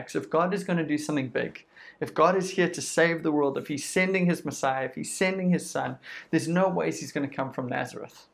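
Gravelly South African man preaching. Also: on the high side. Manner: conversational, uses quoted voices and lists. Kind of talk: sermon.

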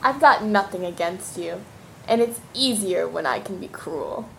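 A woman's voice: lowish voice, lively intonation, a little slow, gravelly, fairly bright tone, a little hiss or hum, exaggerated voice, low-quality recording. A woman playing a cruel, hungry cannibal captive who savors her menacing taunts in an audio drama.

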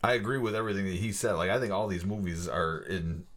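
A man's voice: deep voice, fairly even intonation, quick pace, fairly bright tone. A man talking casually as a panelist on a film discussion podcast.

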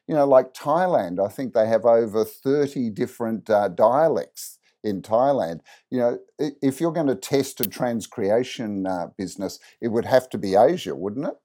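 Mellow-voiced Australian-raised podcast host. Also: male voice, medium pitch, animated, normal speed, hardly any pauses. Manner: conversational, leading questions with tag questions. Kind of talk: interview podcast hosting.

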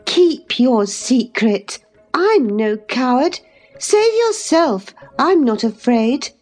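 A woman's voice: extremely high pitch, expressive, slow pace, darkish tone, soft background music, pitched above the performer's own voice, exaggerated voice. A woman voicing a scornful, passionate young lady in a storybook, scolding with emphatic, imperious phrasing. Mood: scornful compassion.

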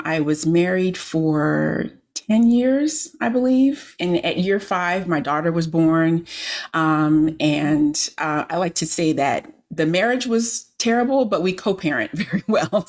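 A woman in her forties, a coach being interviewed, telling her story in a reflective, halting way with frequent restarts. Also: lowish voice, a little mellow. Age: 40s.

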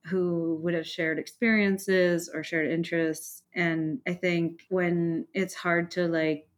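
A woman's voice: lowish voice, slow pace. A woman from India with an Indian accent, a guest telling stories in a casual interview and laughing often. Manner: animated storyteller, laughs often. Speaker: guest, knitter.

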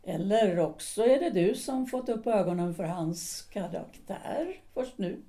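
A woman acting out a resentful middle-aged wife in a radio drama, cool and cutting in a measured delivery. Her voice has a mellow, dark tone at a middle pitch. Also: expressive, close to an everyday voice.

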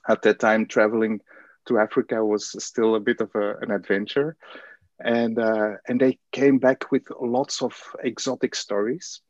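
A man's voice: on the low side, little pitch movement, normal speed, dry recording. A man from Belgium answering interview questions about his upbringing, hesitant with frequent fillers. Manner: hesitant, many fillers and restarts. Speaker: guest. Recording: dull lo-fi dry sound.

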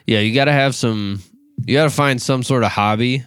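A man's voice: mid-range voice, normal speed, fairly bright tone, smooth, dry recording. A man in his late twenties, a youth pastor co-hosting a podcast, talking casually with many fillers and restarts. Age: 20s.

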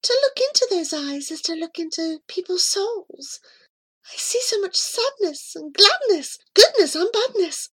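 A woman voicing a small female animal companion in a storybook, marvelling in hushed, breathy wonder. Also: extremely high pitch, sing-song, measured pace, pitched above the performer's own voice, exaggerated voice. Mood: hushed awe.

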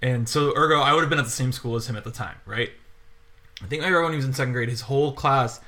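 A man's voice: medium pitch, quick pace, bright, forceful tone. A young man in casual podcast chat, telling a scattershot story and laughing often. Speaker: podcast co-host.

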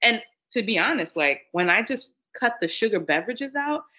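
A woman's voice: on the high side, lively intonation, moderate pace, sharp tone. Earnest woman on a muffled line. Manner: earnest, reflective, many "like" fillers. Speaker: guest sharing her story. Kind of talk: personal testimony in conversation. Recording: dull lo-fi audio.

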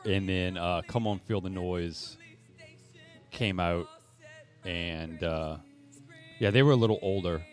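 A man co-hosting a podcast in casual, halting conversation with music playing behind him. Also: sits low. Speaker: podcast co-host. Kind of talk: casual chat.

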